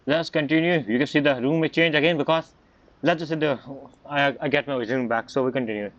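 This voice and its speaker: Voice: medium pitch, a little fast, fairly bright tone. A streamer giving casual, chatty commentary while playing a video game. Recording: slightly muffled, game music behind. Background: game music.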